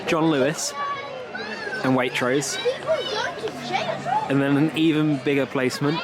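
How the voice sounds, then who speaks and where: A man's voice: medium pitch, fairly even intonation, a little slow. A young British man giving chatty, improvised commentary as he films a casual walk-around vlog outdoors with a camera at arm's length. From Britain. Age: young adult. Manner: chatty, loosely improvised observations. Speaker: travel vlogger. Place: outdoors in a busy theme park. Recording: outdoor crowd chatter and heavy ambient noise.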